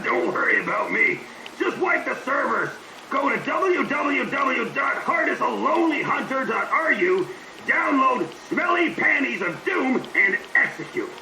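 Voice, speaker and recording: A man's voice: extremely high pitch, reverberant, background noise, heavily acted. A male actor playing a manic, mocking, foul-mouthed hacker saboteur in a video game. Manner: brash, mocking, swearing freely. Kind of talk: dramatic video game voice acting.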